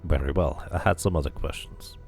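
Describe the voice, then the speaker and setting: A man voicing a calm, curious male game protagonist who asks plain questions. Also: extremely low pitch, fairly quick, gravelly, soft background music, pitched below the performer's own voice. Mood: calm, dry.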